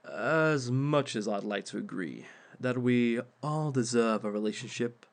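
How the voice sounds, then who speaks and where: A man's voice: mid-range voice, animated, gravelly. A man playing a smug male villain who hosts a dating workshop, speaking in a dry, teasing way to an unseen audience. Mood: wry. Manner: dry, teasing, unhurried, talks to unseen listeners. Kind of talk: audio roleplay monologue.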